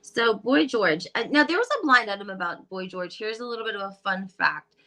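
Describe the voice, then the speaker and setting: A woman hosting a casual gossip vlog, talking in a crisp, bright tone. She is breezy and chatty, reading headlines aloud and filling gaps with ums and likes. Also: medium pitch, animated, fairly quick. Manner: chatty, breezy, lots of fillers. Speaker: gossip channel host.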